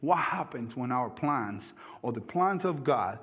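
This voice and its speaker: A man's voice: mid-range voice, expressive, a little slow, fairly bright tone. A man in his thirties, a native Spanish speaker, preaching an earnest sermon who repeats phrases and acts out the doubting voices of his listeners. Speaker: pastor.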